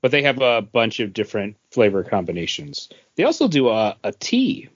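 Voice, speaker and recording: A man of average pitch chats casually as a podcast co-host, his intonation moving up and down in a lively way. The recording is dry and a little lo-fi. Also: moderate pace, slightly rough, dry recording. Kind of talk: casual chat.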